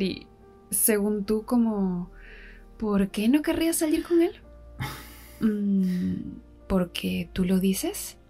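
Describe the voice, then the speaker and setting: A bold young woman, played by an actress, in a romantic audio drama. She speaks slowly and breathily with a creaky edge, mocking and teasing, over background music. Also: mid-range voice, mellow, exaggerated voice. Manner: mocking, drawn-out, breathy delivery. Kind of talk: ASMR audio drama acting.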